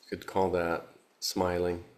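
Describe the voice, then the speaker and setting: A man leading a guided meditation, speaking calmly with long pauses. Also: low voice. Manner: slow, calm, with long pauses. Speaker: meditation teacher.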